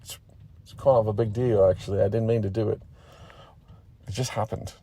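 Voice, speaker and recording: A man's voice: fairly low pitch, steady tone, fairly quick, dark tone, breathy edge. A man voicing a sheepish, self-pitying male gamer who mumbles and repeats himself in a comic story. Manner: mumbling, repetitive.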